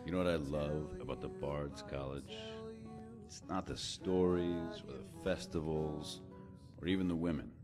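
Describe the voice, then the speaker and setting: A man playing a genial, reflective bard and poet in a fantasy game, with a deep baritone and an easygoing, musing manner. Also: fairly even intonation, a little slow, music in the background. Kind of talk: scripted fantasy game dialogue.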